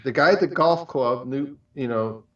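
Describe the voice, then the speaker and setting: A man co-hosting a talk show in casual chat, speaking in a halting, rambling way. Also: mid-range voice, fairly bright tone. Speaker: show co-host. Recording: roomy, distant, dull microphone.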